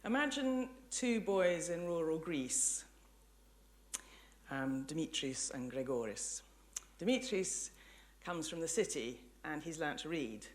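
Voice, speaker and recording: A woman giving an articulate, measured public lecture with illustrative storytelling. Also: low voice.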